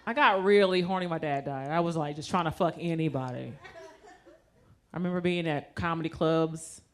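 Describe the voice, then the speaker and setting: A woman performing a stand-up comedy set on stage before a live audience, brash and punchy, and quick to break into acted-out quotes. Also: low-pitched, a little hiss or hum.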